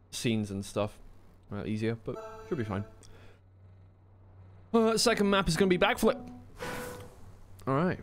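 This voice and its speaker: A man with a mid-pitched voice commentates an online racing match. He is informal and a little flustered, mixing race calls with chatty asides to stream viewers. Game car noise is clearly audible behind him. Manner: informal, slightly flustered, thinking aloud. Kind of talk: live racing game commentary mixed with casual stream chat.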